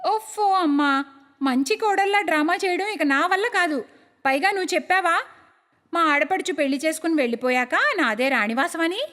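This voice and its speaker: Woman as young bossy housewife, gushing, dramatic, high voice, cartoon story, reverberant. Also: expressive, moderate pace, fairly bright tone. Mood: exasperated. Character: scheming, sugary young newlywed sister-in-law, bossy at home. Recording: long hall-like reverb.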